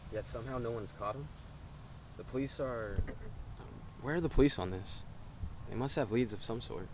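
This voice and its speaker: A man's voice: mid-range voice, normal speed, noisy background, natural voice. An actor playing a cautious, uneasy American man, an investigator's sidekick in an audio drama. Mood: puzzled. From Los Angeles, United States. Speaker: investigator's companion. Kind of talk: naturalistic audio drama dialogue.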